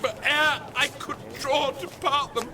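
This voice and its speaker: An agitated young gentleman of classical radio drama, his voice very high-pitched. He declaims verse urgently and loyally, with a crowd clearly heard behind him. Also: steady tone, unhurried. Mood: earnest, pleading.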